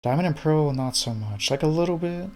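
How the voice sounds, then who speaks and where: A man's voice: fairly high pitch, expressive, brisk, darkish tone. A man of about thirty chatting casually, hesitant, often trailing off with fillers. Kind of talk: casual chat.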